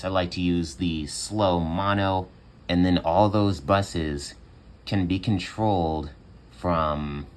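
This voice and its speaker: A young man with a very low, bassy voice talks in a loose, relaxed way, leaning on fillers as he walks through his home studio setup. He sounds close to a phone mic in a small room. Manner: relaxed, chatty, many fillers. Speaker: home music producer. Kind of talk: casual tutorial walkthrough.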